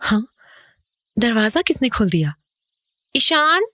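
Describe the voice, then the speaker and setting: Actress as an uneasy mother, lively higher voice. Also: slow, no echo, exaggerated voice. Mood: wary, searching. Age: adult. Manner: questioning, conversational, Hindi mixed with English. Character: uneasy mother and wife, returning to her old home. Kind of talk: audio horror drama dialogue.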